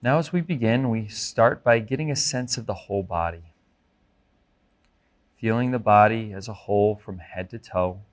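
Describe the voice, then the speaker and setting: A man with a fairly low voice leads a guided meditation as an instructor. His delivery is gentle and measured, giving clear instructions in a careful, deliberate way. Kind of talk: guided body scan meditation.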